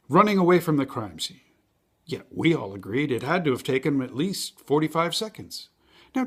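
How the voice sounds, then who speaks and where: An earnest juror played by a man argues insistently in a stage drama. His voice is fairly high and swings widely in pitch. The delivery is emphatic and rhetorical, built to persuade. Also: fairly bright tone, heavily acted. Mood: impassioned. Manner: emphatic, rhetorical, building arguments by repeated questions. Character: earnest, insistent male juror arguing reasonable doubt. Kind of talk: theatrical stage monologue.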